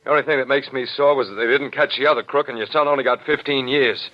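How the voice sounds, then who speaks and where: An actor playing a brusque, skeptical, hard-bitten newspaper reporter in a 1940s radio drama. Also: male voice, medium pitch, steady tone, fast talker, lightly acted. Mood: irritated, skeptical. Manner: brusque, impatient, blunt. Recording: dull lo-fi 1940s radio broadcast.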